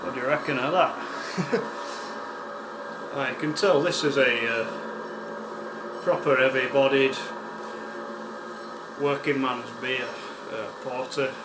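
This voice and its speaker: A man with a West Yorkshire accent hosting a casual beer-tasting vlog, chatty and unhurried, with plenty of ums and uhs. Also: mid-range voice. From West Yorkshire, England. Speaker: beer reviewer, channel host. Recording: echoey room with air-conditioning hum.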